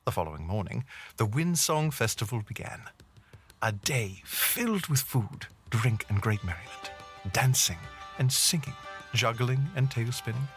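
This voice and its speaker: A man's voice: mid-range voice, expressive, unhurried, husky, soft background music. A man reading scripted storybook narration as the narrator of an audio drama.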